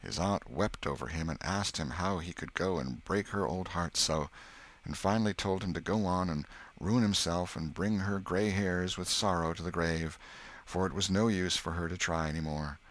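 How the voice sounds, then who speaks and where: A man's voice: deep voice, moderate pace, a bit bright. A man with an American accent narrating a classic novel as an audiobook storyteller.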